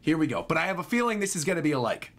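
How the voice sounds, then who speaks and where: A man's voice: fairly high pitch, sing-song, rapid-fire, fairly bright tone. A young man giving casual, enthusiastic reaction commentary as a streamer, often repeating his phrases. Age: young adult. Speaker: streamer reacting to game trailers. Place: small home room, indoors. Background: game trailer music.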